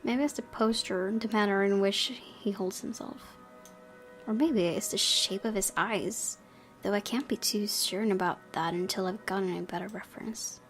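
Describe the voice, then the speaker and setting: A woman's voice: medium pitch, normal speed. A woman hosting a gaming video, reading a visual novel aloud and mixing in chatty, giggly asides. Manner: giggly, chatty asides between narrated passages. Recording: loud music behind the voice. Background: loud game music.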